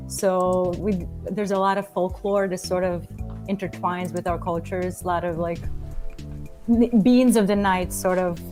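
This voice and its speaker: Podcast guest: woman from Serbia, giggly, mid pitch, clear music behind. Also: steady tone, unhurried, a little mellow. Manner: giggly, laughs often. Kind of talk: casual chat.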